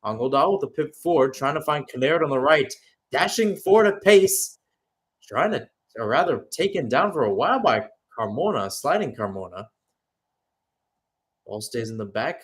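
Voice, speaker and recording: A man giving live radio-style football play-by-play, mixing calls of the action with conversational, musing analysis. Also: mid-range voice. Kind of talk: live radio sports commentary.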